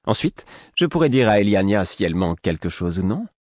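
A man's voice: on the low side, sing-song, a little fast, no echo, clean studio sound, pitched above the performer's own voice. A man voicing a brash young woman, a malicious rival with a sly, taunting manner, in an audiobook story.

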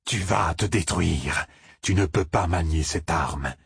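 A man voicing a scornful male demon lord who threatens in a slow, drawn-out way. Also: sits low, creaky, fairly bright tone, breathy edge, no echo, studio quality, full character voice.

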